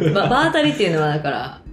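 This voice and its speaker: A woman's voice: lowish voice, very animated, quick pace. A woman with a Kansai accent chatting casually as co-host of a talk show. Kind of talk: casual chat.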